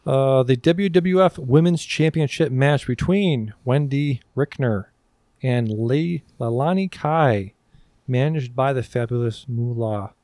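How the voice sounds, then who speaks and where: A man's voice: mid-range voice, slow pace, warm tone, no echo. Irreverent man with a dry, close sound. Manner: irreverent, casually profane. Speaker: podcast co-host. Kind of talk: casual banter, reading out facts between asides.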